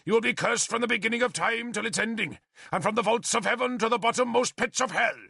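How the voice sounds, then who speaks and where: A man reading an audiobook voices a fanatical, wrathful bishop who booms his denunciations like a preacher, in a gravelly voice. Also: expressive, moderate pace, bright, forceful tone, dry recording, clean studio sound, full character voice. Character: fanatical middle-aged male bishop, booming and wrathful.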